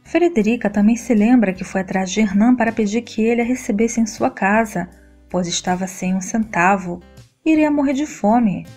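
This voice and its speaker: A woman narrating a scripted soap opera episode recap in a steady, even read-aloud delivery. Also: medium pitch, moderate pace, soft background music.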